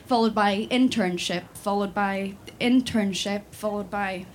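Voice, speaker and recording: A woman's voice: mid-range voice, unhurried, slight background noise. A woman in her twenties from Sweden, talking with many fillers and restarts, then reciting a spoken word poem built on repeated refrains. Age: 20s.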